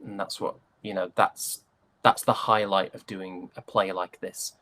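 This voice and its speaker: A man's voice: sits low, fairly even intonation, unhurried, bright, forceful tone. A British man talking casually as an interview guest, thoughtful and enthusiastic about his craft. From United Kingdom. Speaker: interview guest, stage and voice actor.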